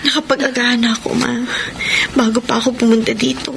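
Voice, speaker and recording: An actress with a Filipino accent playing a distressed, defensive young wife in a radio soap opera, speaking earnestly and emotionally. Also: female voice, on the high side, level tone, fast talker. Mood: subdued. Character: distressed young wife who left her husband, defensive. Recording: clearly noisy radio drama recording.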